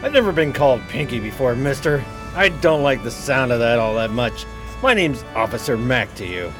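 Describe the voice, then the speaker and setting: An actor playing a gruff, bullying beat cop in a radio play, curt, slangy and threatening. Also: male voice, mid-range voice, lively intonation, normal speed, natural voice. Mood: stern. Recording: music playing behind the voice.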